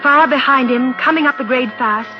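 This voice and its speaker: A woman's voice: high voice, moderate pace, over music, natural voice. An actress playing a rational, insistent woman in an old radio drama, articulate, persuasive and dramatic in her delivery. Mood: tense narration. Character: rational, insistent woman reasoning with a frightened man. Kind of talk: 1940s radio drama acting and narration. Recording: dull, noisy old radio broadcast.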